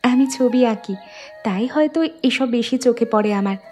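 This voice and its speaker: A woman's voice: a little high, normal speed, dark tone, soft background music, natural voice. A woman playing a dreamy, artistic young village woman in a cartoon. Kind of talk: cartoon dialogue dub.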